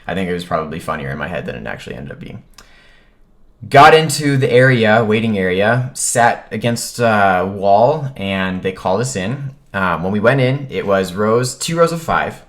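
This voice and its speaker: A man's voice: fairly low pitch, moderate pace. A man talking casually as a vlogger, telling a personal story and acting out the remarks he quotes. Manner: animated retelling, acts out quoted remarks.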